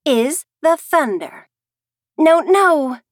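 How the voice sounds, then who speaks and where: A woman's voice: high-pitched, big pitch swings, very slow, no room sound, studio quality, voice pushed up, exaggerated voice. A woman voicing an earnest, decided little girl in a storybook reading. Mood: decided, flustered.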